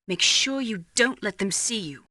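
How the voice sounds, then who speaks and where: A woman's voice: medium pitch, lively intonation, moderate pace, bright, forceful tone, no echo, studio quality. An actress playing a self-possessed, coolly flirtatious female military doctor in a video game, with a composed, professional manner. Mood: cautioning.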